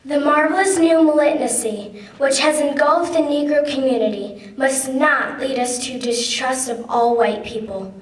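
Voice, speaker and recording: High-voiced young woman reciting in an echoey hall. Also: measured pace, hardly any pauses. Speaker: student reader. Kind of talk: dramatic reading of a historic speech. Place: large echoey room at a public ceremony. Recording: echoey hall, distant microphone.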